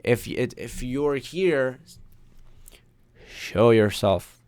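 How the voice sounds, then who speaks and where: A man's voice: mid-range voice, lively intonation, very slow, darkish tone, clear, resonant tone. A young man born in Pakistan, hosting a casual livestream Q&A for his online course, reading viewer names and questions aloud.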